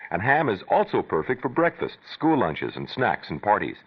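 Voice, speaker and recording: A man reads a radio commercial in an upbeat selling voice. His pitch is fairly low but moves in lively swings. The sound is dull and muffled, from an old broadcast. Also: normal speed, husky. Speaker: radio ad announcer. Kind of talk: scripted commercial read.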